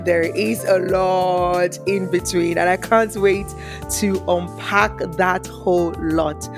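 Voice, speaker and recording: A woman hosting a podcast in an upbeat, bubbly way with excited exclamations. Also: lowish voice, takes it slowly. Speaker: podcast host. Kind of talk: podcast interview. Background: music.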